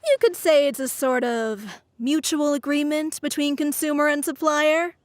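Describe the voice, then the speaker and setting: Woman as an eager, high-voiced money-loving merchant girl. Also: normal speed, close to an everyday voice. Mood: confident, scheming. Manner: persuasive sales patter, prone to giggling. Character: eager, money-loving young female merchant with big ambitions. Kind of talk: video game voice acting. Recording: game music behind the voice.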